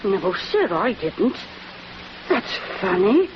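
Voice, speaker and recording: An actress playing a humble, deferential British working-class household cook in a 1940s radio drama, with a folksy manner. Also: female voice, mid-range voice, big pitch swings, slow pace. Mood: deferential, puzzled. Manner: folksy, respectful. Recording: muffled, noisy 1940s radio recording.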